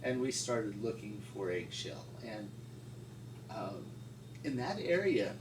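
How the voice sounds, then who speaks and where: A middle-aged man reminiscing informally as an interviewee, in a halting, folksy way. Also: on the low side, sing-song. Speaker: paleontologist interviewee. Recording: distant mic, echoey room, loud noise.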